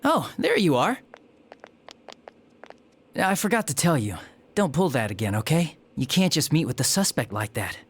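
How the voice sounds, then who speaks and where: An actor playing an affable young police detective in an English video game dub, chatty and slightly awkward. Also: male voice, on the high side, sing-song, normal speed, long pauses, breathy edge, heavily acted. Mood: mild scolding.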